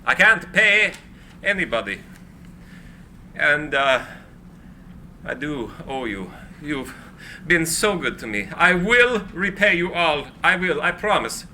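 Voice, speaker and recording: Man acting a bright-voiced, grandiose inventor. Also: fairly high pitch, big pitch swings, slow pace, pauses often, slight background noise, exaggerated voice. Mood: distraught. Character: eccentric, grandiose, idealistic male inventor. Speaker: actor. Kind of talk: live screenplay reading, dramatic.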